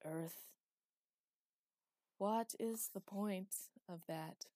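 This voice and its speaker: A woman voicing an ancient male cosmic titan who speaks slowly, partly in a whisper. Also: medium pitch, mellow, no room sound, studio quality. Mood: cold, curious. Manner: slow, drawn-out, partly whispered.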